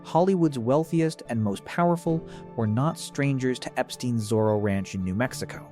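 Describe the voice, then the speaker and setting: A man's voice: mid-range voice, normal speed, mellow, faint music behind. A man reading scripted documentary-style narration in a grave, dramatic manner.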